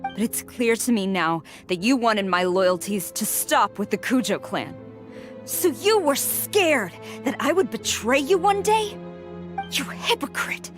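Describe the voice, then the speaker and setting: A woman's voice: high-pitched, big pitch swings, unhurried, a bit bright, faint music behind, heavily acted. An actress playing an earnest, dutiful young warrior woman in a video game drama, speaking with solemn, formal intensity. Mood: hurt, then indignant.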